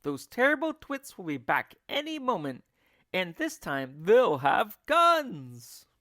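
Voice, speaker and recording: Man performing monkey father, storybook character, frantic, bossy, commanding, raised pitch, highly animated. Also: takes it slowly, no room sound, studio quality, heavily acted. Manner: urgent, commanding, exclamatory. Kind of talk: children's storybook character reading.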